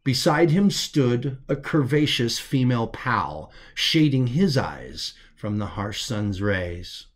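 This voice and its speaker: A man's voice: medium pitch, very animated, slow, few pauses. A man reading science fiction as scripted audiobook narration, telling the story as its author.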